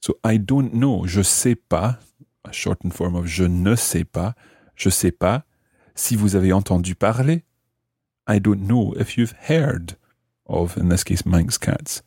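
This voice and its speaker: A man's voice: sits low, expressive, measured pace, dark tone, husky, no room sound, studio quality. A man hosting a language lesson, explaining grammar methodically and repeating phrases as he teaches. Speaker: language teacher and podcast host.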